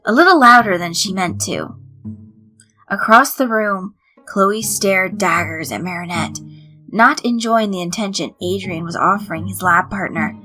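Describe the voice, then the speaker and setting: Woman reading a story out loud, bright voice, bit of music behind. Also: mid-range voice, poor audio. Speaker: fan fiction reader. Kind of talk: scripted story narration with character voices. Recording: music under the voice. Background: background music.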